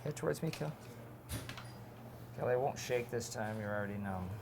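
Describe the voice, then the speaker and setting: A man working as a dentist who calmly explains each step of a procedure to his patient and the viewers as he works. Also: on the low side, moderate pace, creaky, background noise. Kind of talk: narrating a dental procedure.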